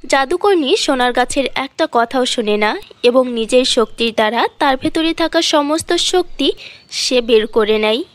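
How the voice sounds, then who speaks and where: High-voiced woman narrating a fairy tale. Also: expressive, normal speed, no echo.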